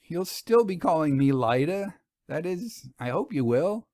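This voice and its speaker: A man's voice: fairly high pitch, very animated, unhurried, a little mellow, dry recording, clean studio sound, pitched above the performer's own voice. A man voicing a loyal, reassuring young woman, a detective's assistant who explains things patiently in an audio storybook. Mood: hopeful. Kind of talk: audio storybook first-person reading.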